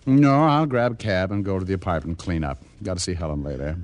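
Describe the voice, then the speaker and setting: An actor playing a cocky, sardonic American private detective in an old-time radio drama, breezy and wisecracking. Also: male voice, sits low, quick pace, a little mellow, dry recording, natural voice. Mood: teasing. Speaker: radio drama lead and narrator. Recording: vintage radio recording with music.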